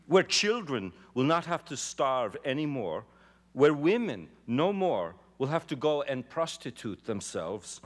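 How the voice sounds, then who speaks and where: A middle-aged actor reading as a young male immigrant labor organizer pleading before a jury on a theater stage. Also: mid-range voice. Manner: impassioned, swelling then dropping to hushed. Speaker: actor giving a dramatic reading. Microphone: podium microphone.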